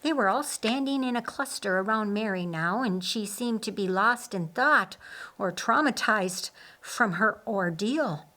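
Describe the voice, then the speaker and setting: Female audiobook narrator, mid-range pitch, unhurried scripted storytelling. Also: expressive, bright, forceful tone. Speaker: audiobook reader.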